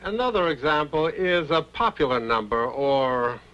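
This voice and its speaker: Older male interviewee, measured and formal recollections, slightly muffled vintage documentary sound. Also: on the high side, lively intonation, fairly bright tone, faint music behind. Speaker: film composer interviewed as a witness. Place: interview recorded in New York.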